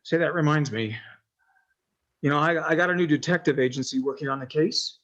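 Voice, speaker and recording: Man acting a high-pitched naive devoted son. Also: fairly bright tone, muffled recording, lightly acted. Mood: hopeful, wistful. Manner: halting, stammering, earnest, folksy. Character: naive, simple, devoted son pining for missing mother. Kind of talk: old time radio horror acting.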